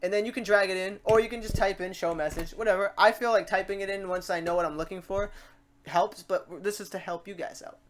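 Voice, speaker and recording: A woman hosting a casual software tutorial, talking through each step aloud in an informal, playful way. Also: mid-range voice, fairly even intonation, a little fast, slight background noise. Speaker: tutorial host.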